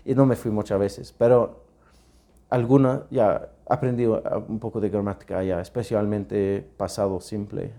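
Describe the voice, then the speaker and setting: A man from England, a native English speaker with an English accent, co-hosting a podcast in casual chat and speaking halting learner's Spanish with English words slipped in. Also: fairly low pitch, fairly even intonation, mellow. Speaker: podcast co-host.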